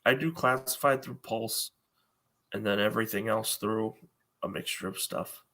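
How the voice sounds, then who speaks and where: A man joining a casual toy chat as a guest, speaking quickly and offhandedly. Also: lowish voice, pushed and bright.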